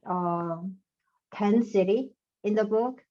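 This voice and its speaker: A young woman from South Korea with a Korean accent, speaking English haltingly as a guest author in a casual interview. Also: medium pitch, warm tone. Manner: halting, searching for words, laughs often. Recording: dull lo-fi sound.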